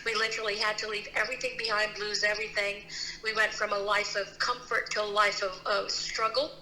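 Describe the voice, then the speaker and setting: Bright-voiced woman telling her story. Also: medium pitch, little pitch movement, a little fast. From Philippines. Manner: earnest, many restarts and "you know"s. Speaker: guest, CEO and founder. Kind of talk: personal story in a casual interview. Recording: roomy with faint noise.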